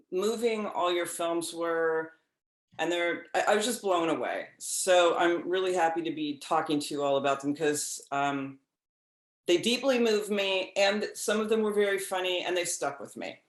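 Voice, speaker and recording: A high-pitched man moderates a film panel, gushing about the films and wandering through his questions. His voice rings in an echoey room. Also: measured pace. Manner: enthusiastic, rambling, filler-heavy. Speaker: moderator, TV critic.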